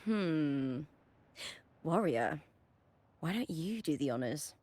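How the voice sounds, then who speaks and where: A woman's voice: sits low, expressive, slow pace, a little mellow, full character voice. A woman voicing a confident, spirited young gladiator and friendly battle partner in a video game. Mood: confident, explaining.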